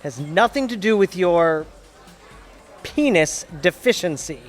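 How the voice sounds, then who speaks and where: A man's voice: high-pitched, lively intonation, takes it slowly, faint music behind, a faint crowd. An actor playing a sulky, defensive young male law associate in an audio drama, grumbling and flippant. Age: young adult. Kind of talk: audio theatre dialogue acting.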